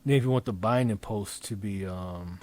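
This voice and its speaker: A man talking through an informal software demonstration, improvising in a halting way as he thinks aloud and repeats phrases. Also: fairly low pitch. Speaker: presenter demonstrating design software. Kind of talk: informal screen-recording tutorial, improvised.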